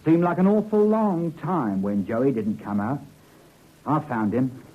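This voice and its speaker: A man's voice: mid-range voice, lively intonation, unhurried. An actor playing an evasive, deferential small-time crook henchman who hedges and explains in a vintage radio drama. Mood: explaining, guarded. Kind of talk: 1950s radio drama acting. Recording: muffled old radio recording.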